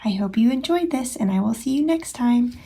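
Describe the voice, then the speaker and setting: A woman reading a children's storybook aloud as a storytime host, expressive and unhurried, as if to young children. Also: a little high, gravelly, slightly rough.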